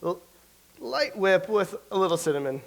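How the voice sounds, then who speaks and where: An actor playing a nervous, self-doubting Elizabethan playwright who stammers and speaks theatrically in a staged reading. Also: male voice, sits high, sing-song, moderate pace, gravelly, exaggerated voice. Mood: pompous. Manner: theatrical, fretful, stammering soliloquy. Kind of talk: staged reading of a comedy play.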